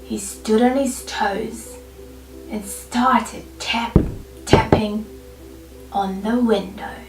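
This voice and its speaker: A woman reading a children's picture book aloud expressively, with deliberate, dramatic phrasing and pauses. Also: fairly high pitch, steady tone. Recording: roomy, distant mic, faint music behind. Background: faint background music.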